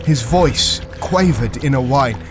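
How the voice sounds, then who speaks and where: A man narrating a dark fantasy audio story in a deliberate, suspenseful storytelling style. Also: medium pitch, expressive, over music. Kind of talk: dramatic scripted fantasy narration.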